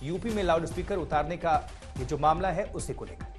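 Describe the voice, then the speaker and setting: A man presents television news as a studio anchor. His voice sits high for a man, and prominent music plays underneath as he introduces reports. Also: fairly quick.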